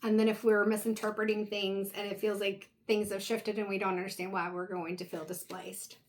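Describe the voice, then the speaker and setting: A woman reads tarot in a relaxed, wandering way, speaking straight to her viewer as she turns each card. She is losing her voice, so it sounds a little strained and she clears her throat now and then. Her pitch sits in a plain middle range. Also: fairly quick, slight background noise. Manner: meandering, reflective, talks directly to the viewer. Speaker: tarot reader. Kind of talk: casual intuitive tarot reading.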